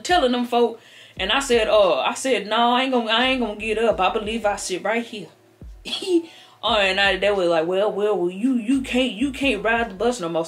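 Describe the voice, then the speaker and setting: A woman's voice: medium pitch, lightly acted. A woman playing a sassy old American grandmother, stammering and repeating herself as she tells folksy tall tales on camera. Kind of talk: improvised comedic storytelling. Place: living room couch, indoors. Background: background music.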